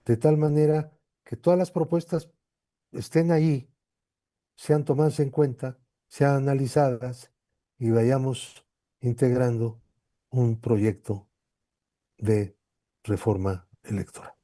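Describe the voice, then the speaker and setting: Male hearing chair, formal and deliberate, very soft-edged mellow timbre, unhurried pace. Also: medium pitch, steady tone, dry recording, studio quality. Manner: deliberate, halting, measured. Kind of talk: formal public address.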